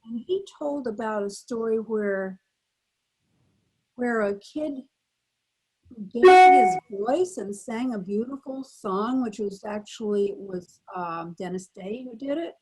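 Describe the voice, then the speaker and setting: A woman's voice: on the high side, lively intonation, slow pace, a little mellow, low-quality recording. A woman in her eighties, a former actress, reminiscing casually as a panel guest.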